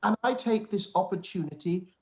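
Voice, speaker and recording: A man's voice: sits high, expressive, moderate pace. Pompous, long-winded clergyman played by a man, formal and fawning, period radio play. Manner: formal, wordy, unctuous. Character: pompous, obsequious young clergyman cousin, long-winded and self-satisfied.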